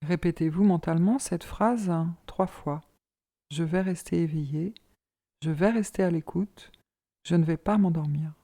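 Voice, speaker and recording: A woman leading a guided yoga relaxation as an instructor, in a calm, measured, soothing manner. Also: on the low side.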